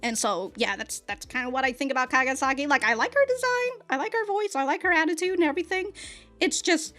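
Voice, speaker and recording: A woman with a slightly raised voice gives casual reaction commentary, rambling and laughing as she talks. She often repeats and restarts her words mid-thought. Quiet music plays faintly behind her. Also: sits high, lively intonation, quick pace. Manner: chatty, laughing, stumbling over repeated words. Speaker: anime reaction host.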